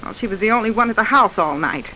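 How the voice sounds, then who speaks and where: A woman playing a bitter, defensive working-class wife and mother in an old-time radio melodrama, sharp and indignant. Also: medium pitch, expressive, fast talker, low-quality recording. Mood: guarded. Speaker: radio drama actor. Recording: faint hiss, old radio recording.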